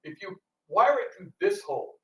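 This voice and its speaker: A man's voice: high voice, big pitch swings, moderate pace, sharp tone. A man chatting casually to an audience as a theatre performer, telling anecdotes in a rambling way. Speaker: radio theatre performer giving a public talk. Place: indoor public talk room. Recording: roomy, distant mic with background noise.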